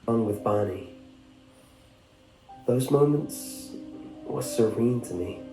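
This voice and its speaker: A man narrating a scripted first-person story in a measured, wistful manner. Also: mid-range voice, mellow. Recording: roomy echo, music behind. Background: background music.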